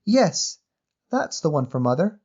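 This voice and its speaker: Man voicing an earnest high-pitched little girl. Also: sing-song, a little slow, a little mellow, husky, lo-fi sound, exaggerated voice. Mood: excited, decisive. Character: eager, polite little girl, determined and trusting. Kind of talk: audio short story character voice.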